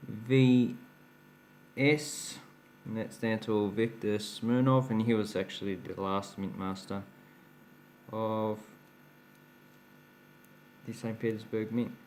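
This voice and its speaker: A man's voice: fairly low pitch, level tone, takes it slowly, pauses often, a little mellow, a little hiss or hum. A man hosting a casual coin-collecting vlog, chatty and explanatory, laughing at his own slips. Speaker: coin collecting channel host. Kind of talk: casual show-and-tell vlog.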